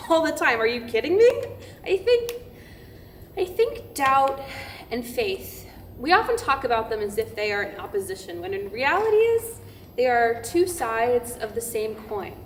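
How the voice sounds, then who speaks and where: High-voiced, frank woman pastor played by an actress. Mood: emphatic, reflective. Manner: colloquial, emphatic, peppered with like. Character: frank, wry woman pastor, thoughtful about faith. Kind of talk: verbatim theatre monologue, staged reading. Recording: echoey, noisy live stage room.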